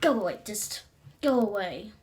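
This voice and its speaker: A woman voicing an exasperated puppet host in a halting, repetitive way, in an amateur puppet comedy. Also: medium pitch, lively intonation, a little mellow, slight background noise, close to an everyday voice. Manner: halting, exasperated, repeating phrases.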